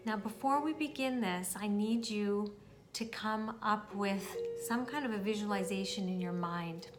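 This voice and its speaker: A woman guides a meditation at a slow, unhurried pace. Her delivery is soothing and deliberate, leaving long gaps between phrases, with music clearly playing underneath. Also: mid-range voice. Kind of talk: guided meditation, spoken instruction.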